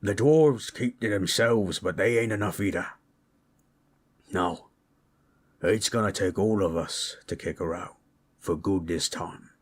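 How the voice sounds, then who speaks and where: A man voicing a gentle, proud orc warrior in an intimate audio roleplay, telling tales in a folksy, rough-hewn, husky way. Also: low voice, measured pace, pauses often. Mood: earnest, resolute. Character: gentle, proud male orc warrior storyteller, affectionate, teasing. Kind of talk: intimate comfort roleplay storytelling.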